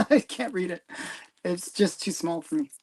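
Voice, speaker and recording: A man's voice: high-pitched, animated, measured pace, darkish tone. Hesitant man chatting about comics. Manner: hesitant, many ums and trailing sentences. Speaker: comic collector host. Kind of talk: casual livestream chat.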